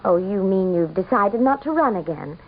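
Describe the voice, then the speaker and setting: An actress playing a proud, scornful governor's wife in a radio drama, with a haughty, melodramatic manner. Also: female voice, mid-range voice, lively intonation, moderate pace, narrow, tinny audio. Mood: concerned. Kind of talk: 1970s-style radio drama acting. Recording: thin, band-limited old radio broadcast.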